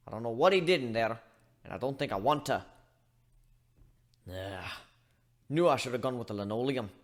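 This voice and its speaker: A gruff, whiskey-loving pirate shipbuilder as a man acts him out, forever grumbling in rough, salty phrasing. The pitch swings widely through the dramatic reading. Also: close to an everyday voice. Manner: grumbling, rough colloquial speech. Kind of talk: dramatic reading of fan fiction.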